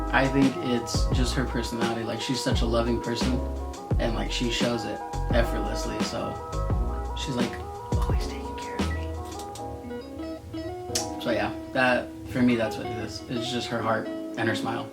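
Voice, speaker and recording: A man's voice: medium pitch, creaky. A man in his thirties chatting casually beside his wife in a Q&A video, poking fun at himself as socially awkward. Music plays under the voice throughout. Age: 30s. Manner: self-deprecating, jokey, admits being awkward. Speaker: co-host of a couple's channel. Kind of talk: casual couple's Q&A chat.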